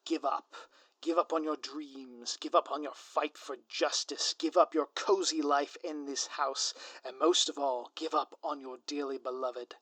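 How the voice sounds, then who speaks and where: A man's voice: a little high, steady tone, measured pace, fairly bright tone, no room sound. A man playing a grandiose, scheming supervillain in an audio roleplay, with florid, pompous, melodramatic delivery. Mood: gloating. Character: grandiose theatrical male supervillain, lovesick and scheming. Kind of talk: scripted audio roleplay monologue.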